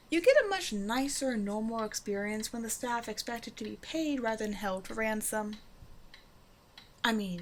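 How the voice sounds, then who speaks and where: A woman's voice: mid-range voice, lightly acted. Flirty, self-assured villainess, acted by a woman, noisy background. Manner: teasing, casual, self-assured. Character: flirtatious female supervillain, a demanding but generous tailor's customer. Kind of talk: roleplay audio drama, intimate one-sided dialogue to listener.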